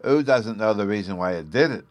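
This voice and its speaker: A man's voice: fairly low pitch, moderate pace, no echo, pitched above the performer's own voice, close to an everyday voice. A man voicing an eager, stubborn little fairy boy with a babyish lisp, in an audiobook. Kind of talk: audiobook character voice.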